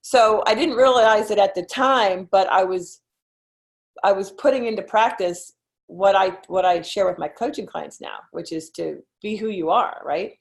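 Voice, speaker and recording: A woman's voice: mid-range voice, normal speed, creaky. A middle-aged woman with a Philadelphia accent, a real estate coach giving a chatty online presentation full of fillers and "okay?" check-ins. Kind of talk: webinar presentation.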